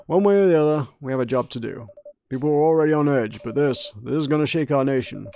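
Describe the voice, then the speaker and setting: A man's voice: mid-range voice, fast, vocal fry, warm tone, natural voice. A man voicing a stern, calculating newspaper editor in a game, reading the lines aloud in a matter-of-fact way.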